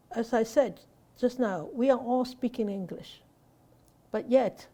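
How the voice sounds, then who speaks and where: Woman Buddhist teacher, mellow tone, unscripted, halting delivery with fillers, rhetorical questions. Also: fairly high pitch. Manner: hesitant, many fillers and restarts.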